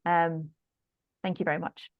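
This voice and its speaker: Halting woman researcher on muffled call. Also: on the low side, flat delivery, dry recording. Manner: halting, apologetic, repeats words. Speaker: guest speaker, researcher. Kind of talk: informal academic question and answer. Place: online video call, close dry sound.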